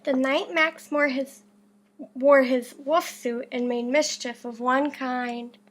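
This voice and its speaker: A woman reading a storybook aloud as a teacher does, deliberately and interactively. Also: sits high, fairly even intonation. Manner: deliberate, with questions to a listener.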